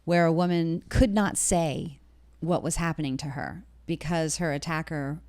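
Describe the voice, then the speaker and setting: A woman's voice: low voice, fairly even intonation, normal speed, darkish tone, no echo. A woman with an American accent interviewing casually as a podcast co-host.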